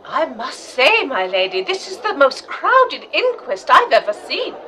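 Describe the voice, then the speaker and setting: A woman's voice: sits high, wildly swooping pitch, a little slow, pushed and bright, slight background noise, full character voice. A woman playing an eager, chatty, deferential assistant to a lady detective in a period radio drama. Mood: eager, teasing. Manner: inquisitive, deferential, gossipy.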